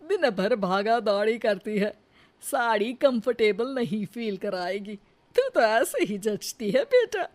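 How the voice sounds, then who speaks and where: A woman voicing a gentle, modest older mother-in-law in a cartoon story, fond and a little hesitant. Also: on the high side, big pitch swings, slow pace. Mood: fond, reluctant.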